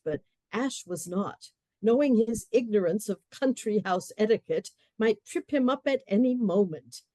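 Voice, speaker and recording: A woman giving scripted narration for a radio play, measured and storytelling. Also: medium pitch, mellow, dry recording.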